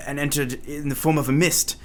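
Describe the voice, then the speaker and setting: A man playing a tormented madman in a radio play, delivering a halting, dramatic confession. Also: on the high side, level tone, slight background noise, lightly acted. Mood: anguished. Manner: haunted, dramatic, halting monologue.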